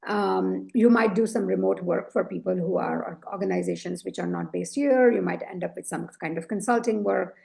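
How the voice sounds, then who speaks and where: Gravelly low-voiced woman coach. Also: normal speed, hardly any pauses, darkish tone. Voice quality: low, deep voice. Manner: reflective, earnest, lots of "you know" and "right?". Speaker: leadership coach, guest. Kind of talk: conversational interview.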